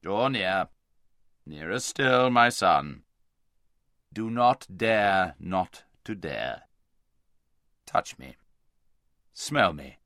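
Guy doing a big solemn storybook lion, deep n slow, grave but gentle, very deliberate. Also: big pitch swings, no room sound, clean studio sound, exaggerated voice. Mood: stern, commanding. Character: great solemn male lion, gentle yet commanding.

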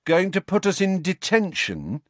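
A man puts on a cheeky storybook teen prankster. The tone is sarcastic and taunting, raised in pitch and full of exaggerated movement. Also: huge pitch swings, measured pace, no room sound, full character voice. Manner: sarcastic, falsely anxious. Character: mocking, mischievous teenage twin brother.

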